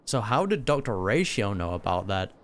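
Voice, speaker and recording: A man's voice: lowish voice, sing-song, moderate pace, a little hiss or hum. A man giving casual reaction commentary on a game, musing aloud with some hesitation.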